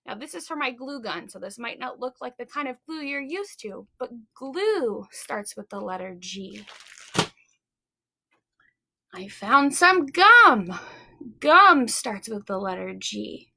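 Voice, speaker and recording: Female children's story time host, very high voice, slow and deliberate delivery, encouraging and animated. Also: creaky. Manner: encouraging, animated, addressing young children directly; simple repeated phrases and questions to the listener. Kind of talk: children's story time with chants, songs and simple explanations.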